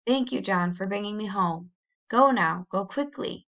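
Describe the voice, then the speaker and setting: A woman's voice: medium pitch, a little slow, vocal fry, fairly bright tone. A woman voicing a frightened but brave young pioneer girl in a storybook, pleading earnestly and urgently. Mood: urgent whisper-like pleading.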